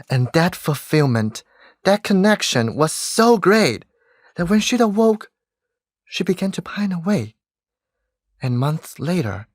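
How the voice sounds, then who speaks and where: An actor playing a solemn fairy storyteller in a stage play, measured and dramatic. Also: medium pitch, no room sound, clean studio sound.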